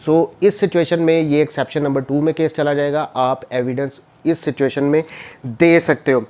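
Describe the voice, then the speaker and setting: A man with a Pakistani accent giving an informal law lecture, mixing in English and slowing deliberately when reading legal text. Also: on the high side, fairly even intonation, a little mellow. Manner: switches between Urdu and English, reads legal text slowly and deliberately.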